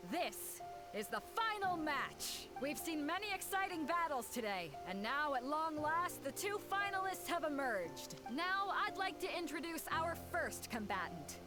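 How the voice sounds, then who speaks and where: A brisk young tournament organiser in a video game. Her voice is high and very animated, with a theatrical turn to it. Music plays clearly behind her. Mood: rousing, theatrical. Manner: theatrical, proclamatory. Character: brisk young woman announcing a fighting tournament.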